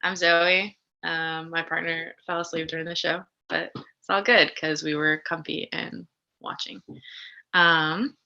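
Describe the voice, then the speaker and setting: Slow, sharp-voiced woman, casual and hesitant. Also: deep voice, level tone, creaky. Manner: hesitant, informal. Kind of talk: casual, unscripted introduction. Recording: dull, lo-fi sound.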